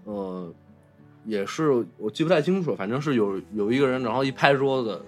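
A man's voice: mid-range voice, animated, normal speed, faint music behind. A man co-hosting a casual film discussion, recounting things hesitantly in short, piecemeal phrases. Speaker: podcast co-host.

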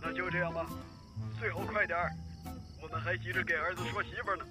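A man's voice: sits high, steady tone, fast, loud music behind the voice, close to an everyday voice. A man playing a grasping, money-hungry middle-aged village father in a radio drama, blunt, pushy and nagging. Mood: greedy, bargaining. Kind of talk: modern Chinese radio drama.

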